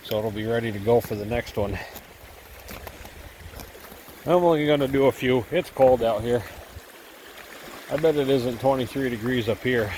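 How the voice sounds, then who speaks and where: A man's voice: medium pitch, normal speed, pauses often, a little mellow, slight background noise. A man with a head cold talking casually and rambling a little as he vlogs outdoors by a cold stream. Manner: easygoing, rambling, thinking aloud. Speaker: gold prospecting vlogger. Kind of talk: casual outdoor vlog narration.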